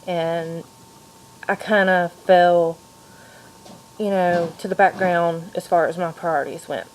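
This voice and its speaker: A woman of thirty from Kentucky with a mixed Southern accent, quietly introducing herself at home late at night, with many ums. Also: fairly low pitch, fairly even intonation, takes it slowly, a little hiss or hum, rough recording. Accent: mixed Kentucky and Georgia Southern. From Louisville, Kentucky. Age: 30s. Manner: soft-spoken, frequent ums. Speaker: student vlogger. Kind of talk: personal introduction video.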